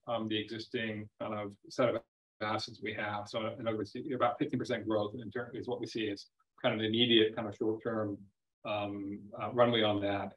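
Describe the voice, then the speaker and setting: A man speaking as a company chairman on a web earnings call, presenting semi-formally off the cuff, haltingly, often repeating and rephrasing his words. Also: on the low side. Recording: dull, lo-fi web call audio.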